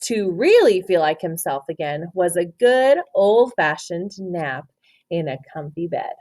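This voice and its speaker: Animated woman teacher reading a storybook aloud. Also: lowish voice, measured pace, no room sound. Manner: expressive, questioning, explains to young listeners. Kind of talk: interactive children's storybook read-aloud.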